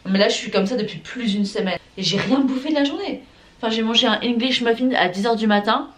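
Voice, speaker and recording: A woman's voice: fairly high pitch, quick pace. A young woman chatting casually to camera in a slangy, emphatic way, dropping in English phrases. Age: young adult. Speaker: vlogger. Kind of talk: casual vlog chat to camera. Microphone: camera or phone held while vlogging. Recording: clearly noisy everyday vlog audio.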